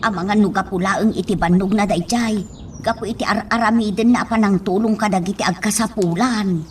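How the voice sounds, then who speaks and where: A woman's voice: mid-range voice, fairly even intonation, a little fast, slight background noise, close to an everyday voice. A woman with an Ilocano accent playing a dutiful, reassuring household helper in a radio drama. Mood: dutiful, soothing.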